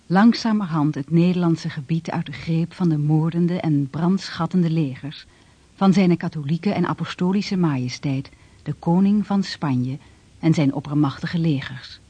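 A man narrating a historical radio drama in a solemn, measured way. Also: a little high, mellow, husky, no echo. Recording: dull lo-fi radio recording with music.

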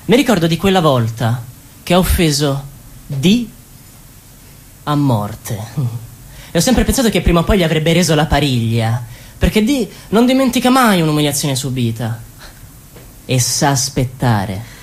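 A scornful political insider in a radio play, with a lowish, very animated voice. The manner is pointed and theatrical, like gossip over old grudges. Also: female voice, normal speed, long pauses. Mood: conspiratorial. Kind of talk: stage-style radio drama.

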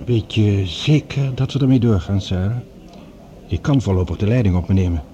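A man's voice: fairly low pitch, lively intonation, moderate pace, dark tone, muffled recording, close to an everyday voice. An actor playing a courteous, wary male professor, formal and collected, in radio drama style.

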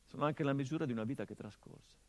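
Male actor as a middle-aged son, bitter and sardonic, mid-range voice, hushed murmurs breaking into impassioned stage outbursts. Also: mid-range voice, racing, lightly acted. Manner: sardonic, biting. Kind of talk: theatrical monologue.

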